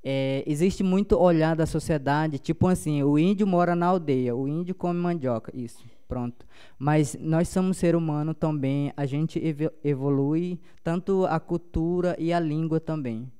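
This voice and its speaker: Man, young adult, Paumari accent, Paumari native speaker, mellow, smooth resonant voice, halting panel talk. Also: a little high. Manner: halting, many restarts and fillers.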